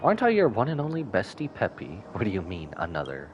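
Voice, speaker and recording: A man's voice: on the low side, wildly swooping pitch, fast, lo-fi sound. A man livestreaming a game, giving playful commentary and reading dialogue aloud. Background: faint game music.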